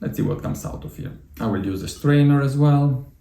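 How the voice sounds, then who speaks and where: A man with an Italian accent hosting a tea tasting, talking in a relaxed, chatty way with frequent fillers. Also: mid-range voice, moderate pace, creaky, roomy sound, a little hiss or hum. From Italy. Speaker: tea channel host.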